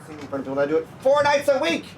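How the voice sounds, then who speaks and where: A man's voice: on the high side, very animated, very fast, a little hiss or hum. A man livestreaming a game, chatting casually and in slang with his viewers. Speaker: game streamer. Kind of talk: casual livestream gameplay commentary. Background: game music.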